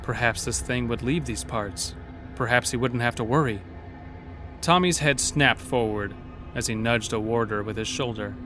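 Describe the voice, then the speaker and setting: A man giving scripted, suspenseful narration for a horror audio drama, telling the story in a measured way. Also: medium pitch, close to an everyday voice. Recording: clear music bed behind.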